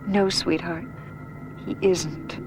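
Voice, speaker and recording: A woman's voice: fairly low pitch, fairly even intonation, takes it slowly, over music, low-quality recording. An actress playing a concerned, earnest wife and mother in naturalistic drama dialogue.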